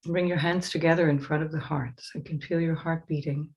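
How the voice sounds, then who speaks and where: A woman leading a guided meditation as a teacher, giving slow, soothing instructions with pauses. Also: deep voice, fairly even intonation, a little mellow, low-quality recording.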